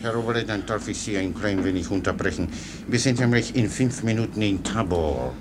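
An actor playing a guileless, chatty, foolish soldier orderly in a German radio play, rambling deferentially. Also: male voice, lowish voice, fairly quick, lightly acted. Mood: blithely helpful. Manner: rambling, naively unruffled, deferential. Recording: clear background noise.

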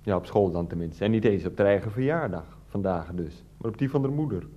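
A man's voice: on the low side, fast talker, muffled recording, lightly acted. A man acting a whiny, self-justifying 39-year-old ex-teacher husband in a radio play, speaking hesitantly with padded phrases. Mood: opinionated, insistent.

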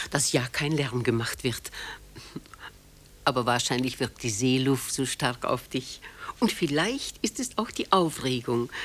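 A woman's voice: very deep voice, exaggerated voice. An actress playing an elderly, possessive mother in a German radio play, speaking in a tender, plaintive, probing manner. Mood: tender, fussing. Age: older. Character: elderly, still beautiful, possessive, anxiously doting mother.

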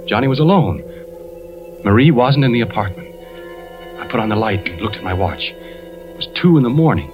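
An actor playing an anxious, suspicious husband, narrating hesitantly in a 1940s radio drama. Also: male voice, on the low side, big pitch swings, moderate pace, long pauses. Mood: brooding. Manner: hesitant, brooding confession. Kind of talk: 1940s radio acting, first-person narration. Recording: dull 1940s radio recording, music behind.

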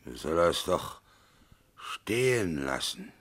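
A low-voiced old male minister in a radio play, curt and pleased with himself. He takes his time over each phrase, often doubling back on a word as he pronounces. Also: sing-song, fairly bright tone, exaggerated voice. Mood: grumbling. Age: older. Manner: curt, deliberate, repeats words. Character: gruff old government minister, curt and self-satisfied. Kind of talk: German radio drama acting.

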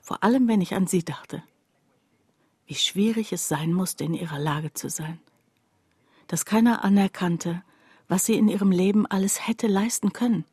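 An actress playing a reflective woman who narrates a radio drama about a destitute friend in a confiding, deliberate way, with a husky, breathy voice. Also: mid-range voice, darkish tone, no echo, close to an everyday voice. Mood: sober, pensive. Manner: confiding, deliberate, addressing the listener directly. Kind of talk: intimate radio drama monologue.

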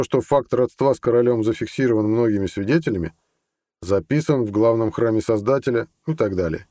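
A man's voice: mid-range voice, mellow, no room sound, clean studio sound, pitched above the performer's own voice, lightly acted. A man voicing a blunt, ambitious army colonel, plain-spoken and earnest, in an audiobook. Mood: explanatory. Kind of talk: audiobook character dialogue.

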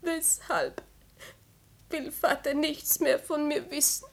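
An actress playing an earnest, emotional teenage daughter, innocent and devoted, in a radio play. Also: female voice, sits high, steady tone, takes it slowly, creaky, exaggerated voice. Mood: sad, earnest.

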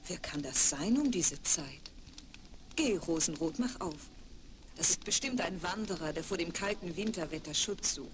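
An actress playing a kindly, caring mother who gently instructs, in a children's fairy-tale radio play on a 1970s vinyl record. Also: fairly low pitch, animated, normal speed, heavy background noise, close to an everyday voice. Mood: caring, calm.

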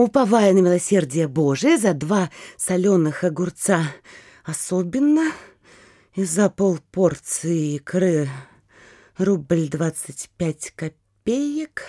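An actress playing a fussy, vain, curious mayor's wife and mother in middle age, with a husky voice and eager, dramatic delivery in a classic comedy audio play. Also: lowish voice, mellow, no echo, clean studio sound, full character voice.

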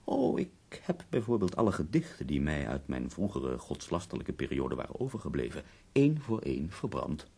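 A bedridden poet near death in a radio play. His voice is extremely low, gravelly and husky, and he speaks with wry, theatrical eloquence, leaving weary pauses between phrases. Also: wildly swooping pitch, warm tone, exaggerated voice. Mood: ironic confiding. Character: bedridden, dying poet, ironic, complaining and theatrical. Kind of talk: radio drama acting.

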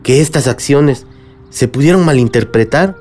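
A man's voice: medium pitch, animated, moderate pace, darkish tone, lightly acted. A man with a Mexican accent playing a middle-aged, remorseful village leader and father in a radio drama. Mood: remorseful, earnest.

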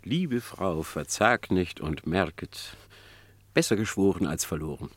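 Sly smooth-talking fox, real low voice that swoops around a lot, radio play. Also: male voice, lively intonation, a little slow, heavily acted. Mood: reassuring. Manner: wheedling, persuasive, theatrical verse delivery. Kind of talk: classic verse radio play.

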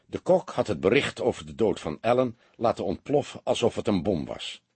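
A man reading an audiobook as narrator, in an expressive scripted style. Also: on the low side, a little fast, breathy edge, dry recording.

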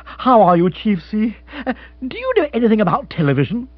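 A man reading an audiobook, voicing an excitable, flustered aristocratic Englishman in a high, breathless, fussy voice. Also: fairly quick, no room sound, highs cut off, exaggerated voice.

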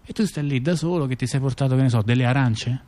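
A man acting as a chatty intellectual, telling scandals in conversational stage monologue, halting and repeating himself with frequent tag questions. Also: medium pitch, warm tone, lightly acted. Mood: wry, conspiratorial. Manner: halting, repetitive, full of rhetorical tag questions. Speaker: stage actor-narrator. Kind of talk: conversational monologue theatre, addressing a listener.

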